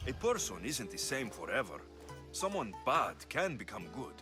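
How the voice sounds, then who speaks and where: Man acting a blunt, animated Greek mercenary, music behind. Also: medium pitch, moderate pace, natural voice. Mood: reflective. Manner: blunt, dry. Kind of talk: video game cutscene acting.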